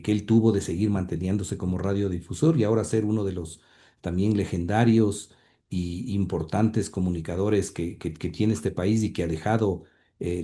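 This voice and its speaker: Ecuadorian man with a very dark, mellow voice. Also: fairly low pitch. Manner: halting, repeats words while recalling. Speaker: interview guest. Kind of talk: reminiscing in an interview.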